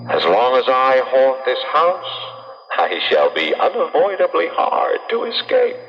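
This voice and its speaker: An actor playing the mocking ghost of a crooked twin brother in an old radio drama, suave, taunting and theatrical. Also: male voice, mid-range voice, huge pitch swings, a little slow. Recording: muffled old radio broadcast, long reverb, music.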